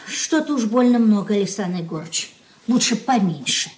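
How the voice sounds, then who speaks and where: A woman's voice: medium pitch, animated, normal speed, a little mellow, close to an everyday voice. An actress playing a meek, worried older merchant's wife and mother in a radio drama, plain-spoken and plaintive. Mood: hesitant. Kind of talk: Soviet radio drama stage acting. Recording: roomy echoing studio recording.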